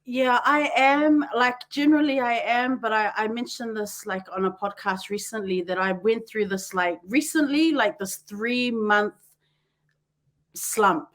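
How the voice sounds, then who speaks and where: A woman with a New Zealand accent hosting a podcast, chatty and quick to laugh, often wandering off on tangents. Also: a little high, fairly bright tone. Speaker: podcast host. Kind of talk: casual chat.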